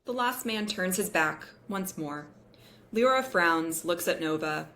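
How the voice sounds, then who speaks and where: A woman's voice: fairly low pitch, expressive, measured pace, a bit bright. A woman reading screenplay stage directions as narrator in scripted table-read style.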